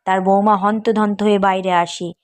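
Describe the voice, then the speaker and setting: A woman's voice: medium pitch, level tone, normal speed. A woman narrator reading a scripted cartoon family story.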